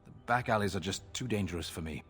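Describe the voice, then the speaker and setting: An actor playing a dry, scornful city guard officer in a fantasy game, with a husky voice. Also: male voice, low voice, fast talker, fairly bright tone, heavily acted. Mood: businesslike, grateful. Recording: long reverb on the voice.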